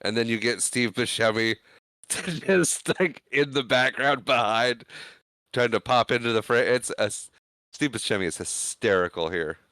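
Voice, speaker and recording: A man's voice: medium pitch, a little slow, sharp tone, no echo. A man co-hosting a podcast, retelling film scenes in casual chat, acting out the characters' quoted lines. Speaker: podcast co-host.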